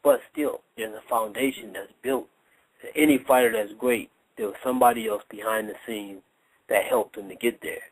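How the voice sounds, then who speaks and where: Male boxing trainer, low voice, dry close recording, emphatic and repetitive in conversational interview delivery. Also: normal speed, no room sound, rough recording. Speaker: boxing trainer, guest analyst. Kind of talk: casual radio interview talk.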